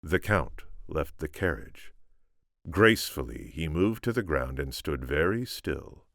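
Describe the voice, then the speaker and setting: Man, extremely low pitch, measured dramatic storytelling, audiobook narration, dry close recording. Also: expressive, fairly bright tone, no echo. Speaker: audiobook reader. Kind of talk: scripted story narration with informal asides.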